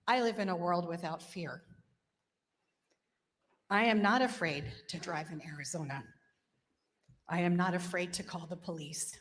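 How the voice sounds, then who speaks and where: A woman's voice: on the low side, a bit bright. Earnest Greek-born woman in a chamber. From Greece. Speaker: state legislator. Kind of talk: floor speech explaining a vote. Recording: long reverb in a legislative chamber.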